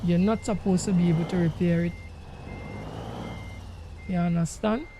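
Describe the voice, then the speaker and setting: A Jamaican man streams casually over some engine noise. His voice sits high for a man yet is full of gravel, and he talks straight to viewers, repeating himself for emphasis. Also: steady tone, moderate pace, mellow. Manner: emphatic, repetitive, addresses viewers directly. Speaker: game livestreamer. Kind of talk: casual livestream chat while driving a truck sim. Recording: noisy, engine rumble behind voice. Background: truck engine sounds from the game.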